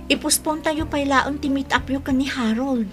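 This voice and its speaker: An actress with an Ilocano accent playing a bubbly, teasing young best friend and matchmaker in a radio drama. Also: female voice, high voice, over music, natural voice. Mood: eager, friendly. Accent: Ilocano, northern Philippines. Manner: animated, teasing, drawn-out words.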